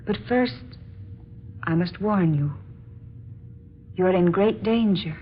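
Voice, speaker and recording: A woman's voice: fairly low pitch, slow. A woman playing a gracious, earnest young princess in a radio drama, speaking with formal, courtly diction. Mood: grave, pleading. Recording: muffled, noisy old-time radio recording.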